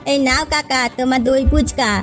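A woman's voice: sits high, flat delivery, fairly quick, soft background music, close to an everyday voice. A woman playing a loyal, practical maidservant in a cartoon fairy tale, earnest and coaxing. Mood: encouraging. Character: loyal, practical young maidservant, caring and encouraging.

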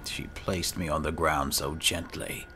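A man narrating a fantasy game in character, measured, solemn and dramatic. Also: a bass voice, fairly even intonation, bright, forceful tone, a little hiss or hum. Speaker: gaming video host playing in character.

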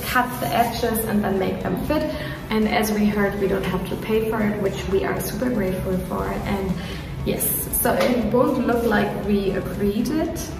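Young woman in echoey villa. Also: mid-range voice. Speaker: vlogger. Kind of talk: casual update to camera. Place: empty villa, by a doorway. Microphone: phone at arm's length. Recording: echoey hard-walled villa, slightly distant.

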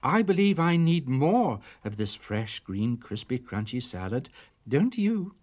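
A man's voice: mid-range voice, big pitch swings, a little slow, a little mellow. A man voicing a sweet, coaxing fairy-tale wife who speaks politely and craves more. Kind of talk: storybook character voice.

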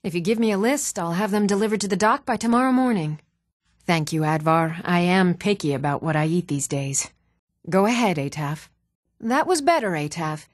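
An actress voicing a composed, earnest woman in a fantasy video game, speaking in a measured, restrained way with clear enunciation. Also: lowish voice, lively intonation, dry recording, studio quality, close to an everyday voice. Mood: pleasant, composed. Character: even-toned adult woman of a fantasy world, composed and earnest. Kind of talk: scripted video game character dialogue.

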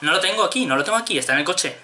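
Bright, higher-voiced young man from Spain. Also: expressive, racing, slight background noise. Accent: Spanish from Spain. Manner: insistent, straight-faced complaining. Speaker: prank caller. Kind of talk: improvised prank phone call. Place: small home room.

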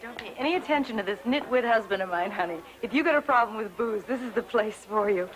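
An actress playing a brisk, friendly middle-aged woman who welcomes a newcomer. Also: a little high, expressive, a little hiss or hum, lightly acted, poor audio. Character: brisk, motherly middle-aged meeting hostess. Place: indoor meeting room.

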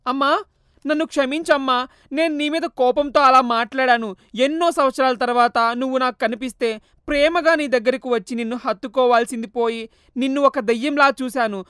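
A grief-stricken young son calls out through tears, as a woman puts him on. The voice sits high and pleading, recorded dry and close with no room sound, as in a storybook cartoon. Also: fairly quick, fairly bright tone, no echo. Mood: weeping. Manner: tearful, pleading, crying out. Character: young man, grieving, remorseful son.

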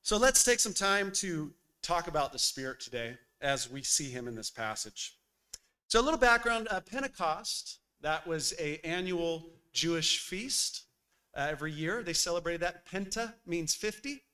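A man preaches from a church pulpit, talking to the congregation in a relaxed, conversational way. His voice is bright and forceful and sits a little high for a man. He speaks into a boom mic on a stand. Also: moderate pace, clear, resonant tone. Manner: lively and conversational, with frequent uh fillers. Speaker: pastor. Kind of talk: sermon.

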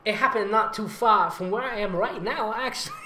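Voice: medium pitch, normal speed, sharp tone. A fan fiction reader doing a comic, theatrical read-aloud, with mocking asides and frequent laughter. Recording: roomy echo with faint background noise.

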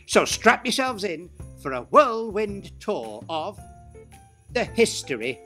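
Playful middle-aged man, wildly swooping pitch. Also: sing-song, takes it slowly, sharp tone, soft background music. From Britain. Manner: playful, exaggerated, sweeping pitch swings. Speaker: storyteller and pantomime dame. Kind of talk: scripted explainer narration. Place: small dressing room, indoors.

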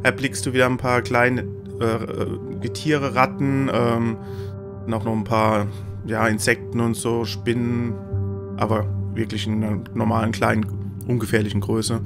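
A man narrating a tabletop game as game master, halting as he searches for words. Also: medium pitch, completely flat delivery, gravelly. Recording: music playing behind the voice.

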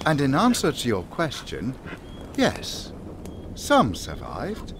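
An actor in an audio drama playing an urbane, menacing island cult leader, politely mocking and grandiose. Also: male voice, mid-range voice, wildly swooping pitch, noisy background, exaggerated voice. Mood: mocking, measured. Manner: grandiose, polite, drawn-out taunting delivery.

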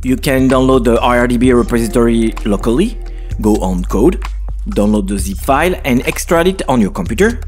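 Man narrating a tutorial with lively pitch. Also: fairly quick, soft background music. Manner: clear step-by-step instructional delivery.

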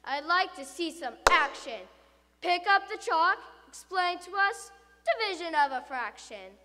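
A woman's voice: extremely high pitch, sing-song, unhurried, exaggerated voice. A woman voicing a huge, menacing female monster teacher who barks out orders in a stage recitation. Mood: menacing, commanding. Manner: barking loud orders. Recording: reverberant hall.

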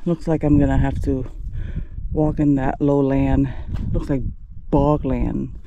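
A middle-aged woman hiking alone, thinking aloud to a camera held at arm's length, winded from climbing and given to breathy exclamations. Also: extremely low pitch, little pitch movement, slow. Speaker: solo long-distance hiker. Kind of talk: first-person hiking vlog narration. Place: open windy moorland outdoors. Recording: dull outdoor camera audio with wind noise.

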